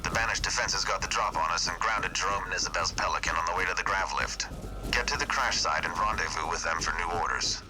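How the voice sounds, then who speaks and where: A man's voice: sits low, fast, noisy background, close to an everyday voice. A man playing a serious, businesslike army sergeant in a video game, giving a clipped military briefing. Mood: businesslike, urgent. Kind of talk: video game cutscene acting.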